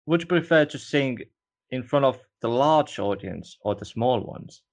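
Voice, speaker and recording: A man from Estonia, whose first language is Estonian, hosting an interview in a relaxed way, with frequent "you know". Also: medium pitch, measured pace. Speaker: interview host. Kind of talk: casual interview.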